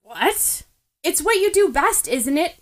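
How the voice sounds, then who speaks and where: A woman's voice: very high voice, very animated, slow, pitched above the performer's own voice, full character voice. A woman voicing a determined, argumentative teenage girl with an earnest, insistent manner, in a storybook reading.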